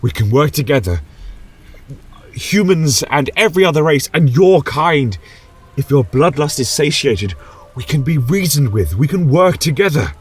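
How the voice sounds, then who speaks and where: A man's voice: fairly high pitch, big pitch swings, normal speed, slightly rough, voice pushed up. A man playing an earnest, principled mage mentor who speaks in theatrical, pleading oratory in an audio fantasy tale. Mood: exasperated pleading.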